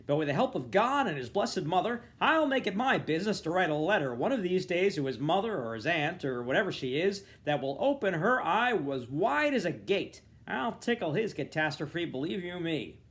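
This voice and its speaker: A man's voice: high-pitched, sing-song, normal speed, hardly any pauses, a bit bright, pitched above the performer's own voice, exaggerated voice. A man voicing an irate, sarcastic middle-aged father in a storybook reading, snarling out theatrical, bitter invective. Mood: furious ranting.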